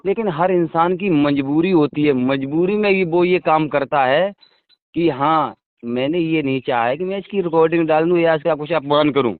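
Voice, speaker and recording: A man's voice: fairly high pitch, moderate pace. Insistent man on a narrow phone line. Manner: insistent, self-justifying, asks to be heard. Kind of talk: phone conversation. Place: phone call. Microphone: telephone. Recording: tinny, dry phone call recording.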